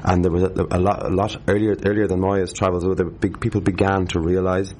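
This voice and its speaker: A man with a very low voice talks quickly about tradition and music in a casual interview. He rambles and restarts, carried along by his own enthusiasm. Faint background noise hums behind him. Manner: animated, rambling, many restarts. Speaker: harpist, interview guest.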